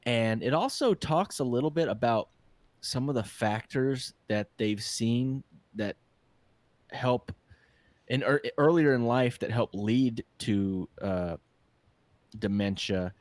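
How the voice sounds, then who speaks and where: A man's voice: mid-range voice, slow pace, no echo. A man co-hosting a casual podcast, a little congested, chatting and joking easily. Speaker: podcast co-host. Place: hot room with a fan.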